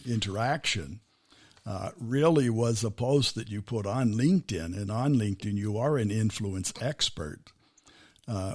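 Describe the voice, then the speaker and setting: An older American man hosts a business interview podcast, reading the guest's credentials in a measured, formal way before loosening into easy chat. His voice sits at an ordinary pitch and is recorded dry and close in a small podcast studio. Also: darkish tone, no echo. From United States. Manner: formal scripted introduction, then easy conversational interjections. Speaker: podcast host.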